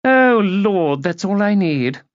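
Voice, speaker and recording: A man's voice: high-pitched, big pitch swings, slow pace, no echo, muffled recording, pitched above the performer's own voice, exaggerated voice. A man voicing a haughty, imperious upper-class woman in an audiobook, clipped, condescending and cutting. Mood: impatient, disdainful. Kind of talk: audiobook character voice.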